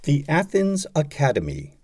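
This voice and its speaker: A man reading poetry aloud as an audiobook, in a dramatic recitation with measured, deliberate phrasing and pauses. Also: a little high, huge pitch swings, darkish tone. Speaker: audiobook reader.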